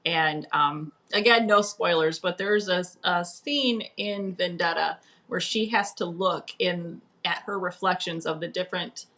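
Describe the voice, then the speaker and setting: A woman's voice: lowish voice, measured pace, few pauses, fairly bright tone. A woman speaking as a guest author, chatting casually about her own writing in an interview.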